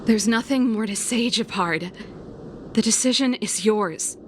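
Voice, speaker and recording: Woman playing a headstrong, higher-voiced sister. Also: unhurried, background noise, lightly acted. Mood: earnest, pleading. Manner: frank, emphatic, dramatic delivery. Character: headstrong, outspoken elder sister, bold and earnest young woman. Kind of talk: dramatic video game voice acting.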